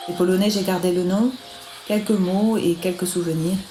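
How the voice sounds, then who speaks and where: A woman's voice: fairly low pitch, steady tone, moderate pace, mellow. A woman reading scripted radio narration in an echoey room, with music behind her.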